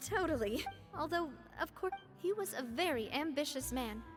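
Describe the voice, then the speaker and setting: A woman playing a poised, warm young leader of an organisation in a video game. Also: high voice, animated, moderate pace, over music. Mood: friendly.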